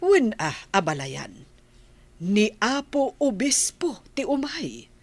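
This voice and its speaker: A woman acting an older grandmother who is arranging her grandson's wedding, speaking in a measured, earnest way in a radio comedy drama. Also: medium pitch, sing-song, breathy edge, no room sound.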